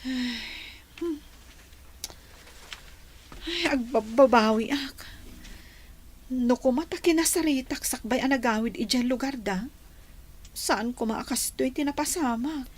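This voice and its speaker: A woman's voice: sits high, a little slow, long pauses. A woman playing a tender young woman in love in an Ilocano radio drama, with an Ilocano accent. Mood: wistful. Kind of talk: radio comedy-drama acting.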